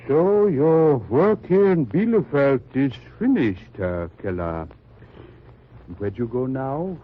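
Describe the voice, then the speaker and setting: An actor playing an old German resistance leader, cautious and deliberate, in an old radio drama. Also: male voice, medium pitch, sing-song. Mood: conspiratorial. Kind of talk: 1940s-50s radio drama acting. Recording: dull, noisy old radio recording.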